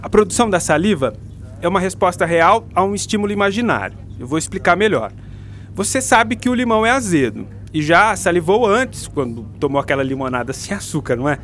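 A man's voice: high-pitched, lively intonation, fairly quick, a bit bright, slight background noise. A man playing a patient, engaging literature teacher in a Brazilian radio drama, coaxing and explaining as he goes. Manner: didactic, coaxing. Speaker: actor.

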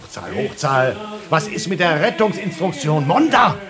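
A man's voice: fairly high pitch, big pitch swings, moderate pace, heavy background noise. A man playing an agitated, sarcastic crewman stranded on a moon station, in an exasperated radio play performance. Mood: sarcastic alarm. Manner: exclamatory, biting, exasperated. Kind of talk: German radio play acting.

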